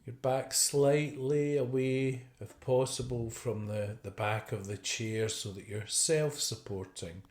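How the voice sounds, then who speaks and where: Guy doing a guided meditation, really slow and gentle, lots of pauses, echoey room. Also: mid-range voice. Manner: gentle, unhurried, with long pauses. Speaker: meditation teacher. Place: indoor room. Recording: roomy, echoey video call recording.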